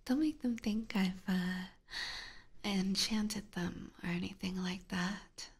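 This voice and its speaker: A woman's voice: medium pitch, takes it slowly. A woman streaming whispered ASMR roleplay in a playful, teasing, coy manner, close to a binaural microphone. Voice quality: breathy, whispery. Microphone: close binaural ear microphone.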